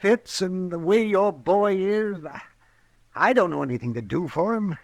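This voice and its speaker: A man's voice: sits high, unhurried, creaky, no room sound. An actor playing an irascible old frontier doctor in a radio western, testy and sarcastic, sputtering as he goes. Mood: somber, regretful.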